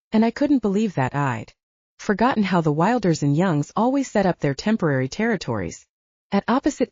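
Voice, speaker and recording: A woman narrates a story in a mellow voice that sits fairly low. Her intonation moves widely as she reads scripted first-person fiction. The recording is somewhat dull and muffled. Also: no echo. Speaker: audiobook narrator.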